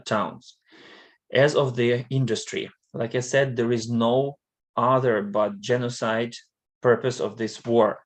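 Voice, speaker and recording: A man from Western Ukraine, a native Ukrainian speaker, giving a hesitant live-streamed presentation in English with frequent pauses and fillers. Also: medium pitch. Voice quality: linguist.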